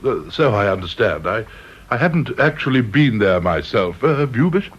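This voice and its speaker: A genial English committee man in a radio play, husky and lively in pitch. He is hesitant and apologetic, always anxious to please, on a slightly muffled recording. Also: moderate pace. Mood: flustered. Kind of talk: British radio drama acting.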